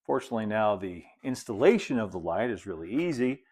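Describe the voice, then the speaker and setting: A man, narrating a hands-on hobby tutorial in a relaxed, folksy way, as if thinking aloud while he works. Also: fairly low pitch, a little fast, husky. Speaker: hobbyist presenter of model railroad build videos.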